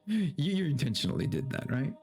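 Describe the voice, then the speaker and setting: A man's voice: on the high side, sing-song, brisk, darkish tone. Casual male streamer with music behind. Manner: rambling, informal, swears casually. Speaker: streamer reading a story aloud. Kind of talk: casual livestream chat and dramatic story reading. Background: background game music.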